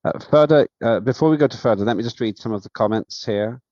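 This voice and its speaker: A man chairing a parliamentary session over a video call, speaking in a measured, halting way with many fillers. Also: mid-range voice, dark tone, no room sound. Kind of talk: formal remarks at an online session. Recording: dull, dry lo-fi sound.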